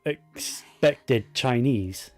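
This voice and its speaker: A man's voice: medium pitch, takes it slowly, darkish tone. A man giving casual, informal game commentary in short reactions as a streamer. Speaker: gaming streamer. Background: faint game music.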